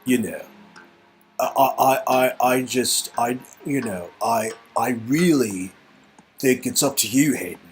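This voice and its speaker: A man's voice: mid-range voice, lively intonation, measured pace, soft background music. A man casually performing game dialogue in a hesitant, rambling, comic way, often repeating words. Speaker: performer reading game script.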